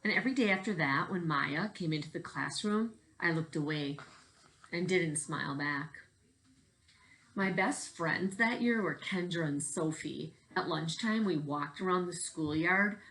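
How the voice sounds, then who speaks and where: A woman's voice: low-pitched, measured pace, a bit bright, rough recording. A woman reading a storybook aloud in the manner of a teacher addressing children.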